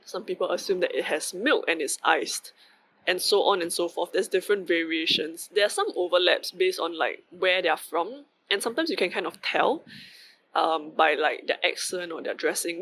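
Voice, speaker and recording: Bright-voiced Singaporean man musing aloud. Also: high voice, rough recording. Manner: rambling, many fillers and asides. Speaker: vlogger. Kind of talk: casual reflective monologue.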